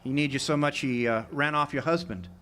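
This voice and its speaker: An actor playing a world-weary, sardonic ex-jailbird drifter in a radio drama, wry and laconic. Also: male voice, mid-range voice, a little fast, pushed and bright, lightly acted. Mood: skeptical, wry. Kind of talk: radio drama acting with first-person narration. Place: live amphitheater stage performance. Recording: live stage recording with music.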